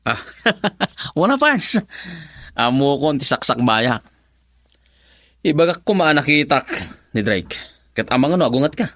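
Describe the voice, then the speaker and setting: A man's voice: medium pitch, very animated, unhurried, long pauses, a bit bright, dry recording, studio quality. A man playing a teasing, tipsy drinking buddy in a radio drama, loose and jokey in manner.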